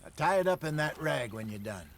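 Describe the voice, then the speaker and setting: Higher-voiced seasoned American frontier hunter. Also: male voice, expressive, fairly quick, a bit bright, a little hiss or hum. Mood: calm, instructive. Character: seasoned, hearty frontier hunter, an older outlaw. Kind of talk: video game cutscene acting.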